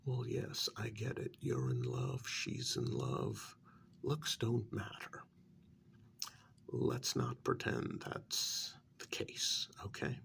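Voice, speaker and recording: A man acts as a calm vampire mediator chiding a prisoner in a soft ASMR role-play. His low, half-whispered male voice is full of gravelly fry and moves very slowly, with measured pauses. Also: a little hiss or hum, heavily acted. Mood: exasperated, chiding.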